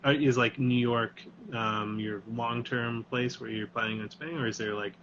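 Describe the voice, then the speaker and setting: A man's voice: medium pitch, fairly even intonation, moderate pace, fairly bright tone. A man hosting a casual interview chat, easygoing, who reads out viewer questions. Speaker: host. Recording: lo-fi video call audio with faint noise.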